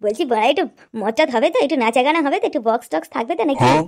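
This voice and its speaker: A woman's voice: high voice, lively intonation, very fast, a little mellow. A woman voicing crude, boisterous young village drinking buddies bantering in a comedy cartoon. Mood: brash. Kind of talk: Bengali comedy cartoon dialogue.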